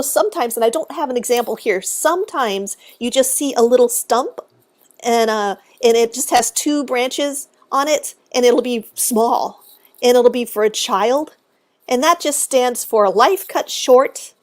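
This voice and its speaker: Exuberant woman with a high voice. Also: animated, normal speed. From Texas. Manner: exuberant, chatty. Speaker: guest speaker on cemetery history. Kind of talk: live-stream interview, casual explaining.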